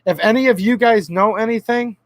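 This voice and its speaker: A hoarse man hosting his own gun channel, talking earnestly and casually straight to his viewers, often calling them guys. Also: high voice, a little fast, a bit bright, no echo. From Michigan. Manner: earnest, talks directly to viewers as guys, repeats himself. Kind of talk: casual talk to camera.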